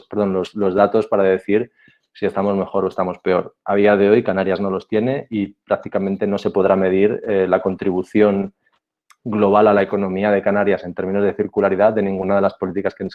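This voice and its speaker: A man giving a conference talk as a panel speaker, measured and explanatory, with some hesitations. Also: low voice, level tone, highs cut off.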